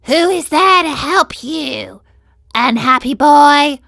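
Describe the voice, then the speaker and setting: A woman voicing a resigned, philosophical tunny fish who speaks slowly and with calm dignity. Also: sits high, vocal fry, no echo, studio quality, pitched above the performer's own voice, exaggerated voice.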